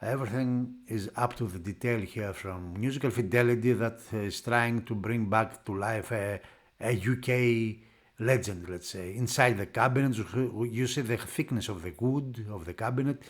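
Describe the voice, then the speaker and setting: A man who is not a native English speaker, narrating a product unboxing off the cuff in halting phrases. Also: on the low side. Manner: halting, piecing phrases together. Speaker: product reviewer.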